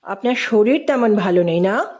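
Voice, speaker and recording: A woman's voice: medium pitch, lively intonation, normal speed, a little mellow, muffled recording, close to an everyday voice. A woman voicing a polite male college professor in a story, mild and teasing, with an air of feigned innocence. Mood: gently teasing.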